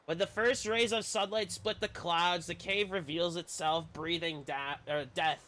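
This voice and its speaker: A man's voice: high-pitched, fairly even intonation, unhurried, bright, forceful tone. A man streaming a game, reading its story aloud with dramatic narration and casual commentary.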